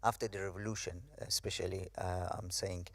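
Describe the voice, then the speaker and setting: A man's voice: sits low, flat delivery, fairly quick, vocal fry, no room sound. A young man from Iran giving a hesitant personal testimony, with many fillers. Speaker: speaker sharing his story.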